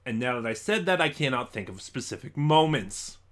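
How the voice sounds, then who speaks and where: A man's voice: mid-range voice, expressive, moderate pace. A man giving a casual, unscripted review as an online reviewer, rambling and thinking aloud in a stop-and-start way.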